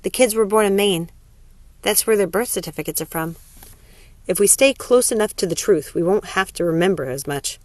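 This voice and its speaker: Young nurse in a novel, read by a woman narrator: practical, reassuring, mid-pitched, dry recording. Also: normal speed, darkish tone, no echo, lightly acted.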